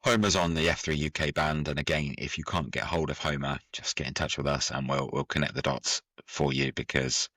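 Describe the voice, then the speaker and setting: Low-voiced man with a bright edge. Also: brisk, hardly any pauses, dry recording, clean studio sound. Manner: animated, acts out quotes. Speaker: podcast co-host. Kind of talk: casual podcast chat.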